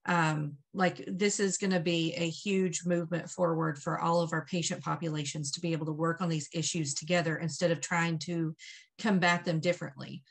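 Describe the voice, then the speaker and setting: Gravelly woman speaking on a video call. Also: low-pitched, steady tone, normal speed. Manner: enthusiastic, rambling with fillers. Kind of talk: video call panel remarks. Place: home office indoors. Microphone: webcam on video call.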